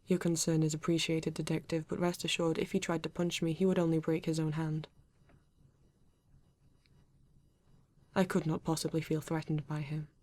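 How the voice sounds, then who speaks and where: A woman's voice: deep voice, flat delivery, darkish tone. A woman playing a male android police detective with a British accent, formal, precise and proud yet earnest, in an ASMR roleplay. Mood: assured. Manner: formal, precise, measured, avoids contractions.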